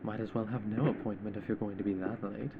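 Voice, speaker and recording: A man's voice: on the low side, steady tone, fast, noisy background, close to an everyday voice. A man voicing a possessive, sardonic, doting yandere captor in an ASMR roleplay, making casual teasing comments. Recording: muffled band-limited audio under loud soundtrack.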